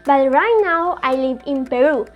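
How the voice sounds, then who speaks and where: A woman's voice: high-pitched, lively intonation, unhurried, highs cut off. A woman from Venezuela with a Venezuelan Spanish accent, a guest YouTuber speaking English in an earnest, careful way.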